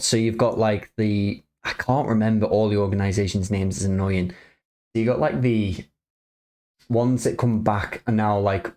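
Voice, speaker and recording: A man's voice: lowish voice, a little mellow. A British man giving casual reaction commentary as a streamer.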